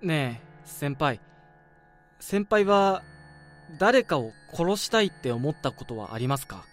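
A man's voice: fairly high pitch, sing-song, slow, faint music behind. An actor playing a polite but menacing young male office worker in a radio drama, shifting from teasing to coolly sinister talk. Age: young adult. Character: sly young male coworker who talks about murder.

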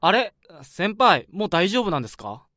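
A man's voice: high voice, very animated, moderate pace, heavy background noise. An actor playing a polite young male junior office worker in a radio drama, eager and concerned. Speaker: junior coworker.

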